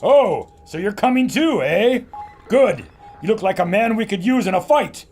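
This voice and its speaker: An actor playing a brash, boastful adventurer filmmaker who talks with a gruff swagger. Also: male voice, high-pitched, expressive, moderate pace, a little mellow, soft background music. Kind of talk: audio drama acting.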